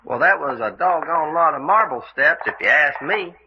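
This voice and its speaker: A man acting a folksy, excitable adventurer sidekick, plainspoken and full of hearty exclamations, in old-time radio mystery style. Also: a little high, normal speed, slight background noise. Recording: dull, lo-fi radio drama recording.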